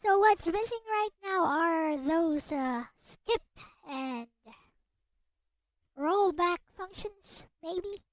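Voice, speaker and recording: A woman livestreamer chatting casually as she works, halting and thinking aloud, often muttering and repeating short phrases. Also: very high voice, no echo. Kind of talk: casual livestream chat while working. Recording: music playing behind the voice. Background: background music.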